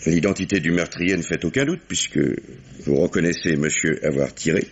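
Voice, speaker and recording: An actor playing a calm, probing police commissioner who questions witnesses with measured authority in an old radio play. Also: male voice, sits low, close to an everyday voice. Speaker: police inspector. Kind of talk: 1950s radio drama acting. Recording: dull old radio broadcast with faint hiss.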